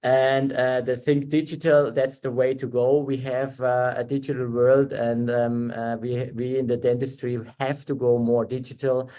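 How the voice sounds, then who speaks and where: A German-born man talks through an online webinar in careful, halting English. He fills his pauses with plenty of ums and uhs and often restarts his sentences. His voice sits at an ordinary middle pitch for a man, picked up by a computer mic on a video call. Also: little pitch movement, mellow. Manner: halting, many fillers and restarts. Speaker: webinar presenter, dental technician.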